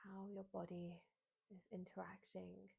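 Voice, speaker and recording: A woman guiding a beginners' meditation in a soft, breathy voice, with soothing phrasing and frequent pauses. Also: on the low side, monotone, phone-quality sound. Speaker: meditation guide, yoga teacher.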